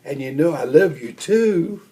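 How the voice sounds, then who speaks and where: A man voicing a patient, loving old grandmother bear who gently teaches and encourages in a children's storybook. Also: a little high, lively intonation, a little slow. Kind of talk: children's storybook read-aloud.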